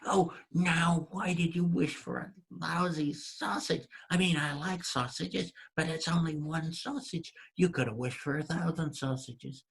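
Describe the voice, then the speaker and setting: A man's voice: a little high, fairly even intonation, measured pace, creaky, hardly any pauses, a bit bright, breathy edge, pitched above the performer's own voice, heavily acted. A man voicing a grumbling, exasperated peasant wife in a told folk tale, nagging and repeating herself. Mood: scolding. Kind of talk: storytelling character voice.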